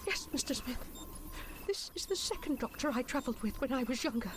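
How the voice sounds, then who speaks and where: A woman playing a wistful, affectionate former companion of a time traveller in an audio drama, speaking in a warm, sentimental manner. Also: sits high, animated, brisk, a little hiss or hum. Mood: fond. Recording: loud music under the voice.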